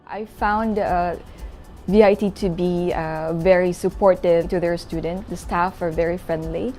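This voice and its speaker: A woman's voice: lowish voice, fairly even intonation, unhurried, warm tone. A young woman with a Filipino accent, a master's student giving a promotional testimonial. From Philippines. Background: background music.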